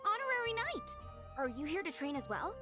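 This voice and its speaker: A woman's voice: very high voice, sing-song, brisk. An actress playing an earnest young female knight in a video game, eager and full of admiration. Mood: eager, admiring. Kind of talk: English video game voice acting. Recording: game music clearly behind the voice. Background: game music.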